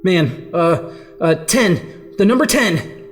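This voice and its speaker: A woman playing a rattled, excitable teenage boy with superpowers in an audio drama, speaking emotionally in casual slang. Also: fairly low pitch, slow pace, faint music behind. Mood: anxious, pleading.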